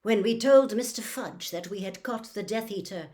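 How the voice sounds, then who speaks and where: A woman's voice: medium pitch, moderate pace, a little mellow, lightly acted. Woman reading cool, controlled male professor. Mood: controlled, disdainful.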